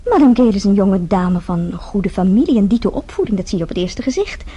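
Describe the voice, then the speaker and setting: A coy, husky young chambermaid. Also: female voice, medium pitch, lively intonation, fast talker, mellow. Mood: coy, confiding. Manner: polite, coy, businesslike. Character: shrewd, coy young French hotel chambermaid. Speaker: actor in a radio play. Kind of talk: radio drama acting.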